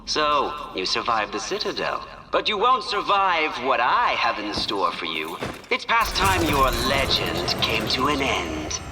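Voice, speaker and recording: A man playing a gloating, menacing military villain in a video game, taunting in a drawn-out, theatrical way. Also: medium pitch, big pitch swings, big-room sound, heavy background noise. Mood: gloating menace. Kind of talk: video game cutscene acting.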